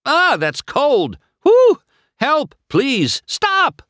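A man voicing a cocky, excitable young male race car in a children's storybook, with sputtering, indignant protests. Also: on the high side, normal speed, dry recording, clean studio sound, voice pushed up, full character voice. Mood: panicked.

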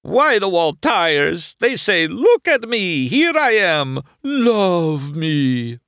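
A man voicing an excitable little Italian tire-shop car, male, with a gushing, sing-song Italian accent. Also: medium pitch, takes it slowly, no echo, clean studio sound, pitched above the performer's own voice, full character voice. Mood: insistent. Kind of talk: storybook read-aloud.

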